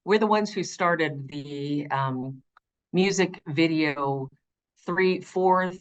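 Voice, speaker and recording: A middle-aged woman speaking conversationally in an interview as an audiobook narrator, with earnest, expressive and emphatic phrasing. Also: low voice, takes it slowly, muffled recording, slight background noise.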